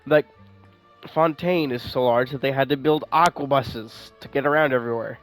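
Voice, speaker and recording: Guy thinking out loud while gaming, medium pitch, music playing behind him. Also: normal speed, rough recording. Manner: rambling, swears casually, thinking aloud. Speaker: gaming streamer playing and commenting. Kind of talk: casual gameplay commentary. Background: game music.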